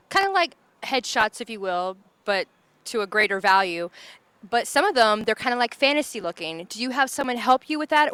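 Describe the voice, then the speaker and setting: A woman's voice: medium pitch, a bit bright, clear, resonant tone, no room sound. A woman hosting an interview, chatty and enthusiastic, using "like" a lot. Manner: chatty, enthusiastic, says "like" often. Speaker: host. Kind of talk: casual interview. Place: bar and grill.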